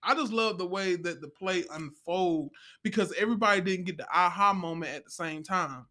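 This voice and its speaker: High-pitched, bright-voiced American man. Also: fairly quick. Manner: emphatic, repeats phrases, slangy. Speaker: stage play cast member. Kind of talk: casual group chat on a livestream.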